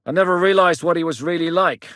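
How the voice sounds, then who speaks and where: A man voicing a blunt, steady mercenary captain in a video game, gruff and plain-spoken, recorded in a dry studio booth. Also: a little high, quick pace, dry recording, lightly acted. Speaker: mercenary leader.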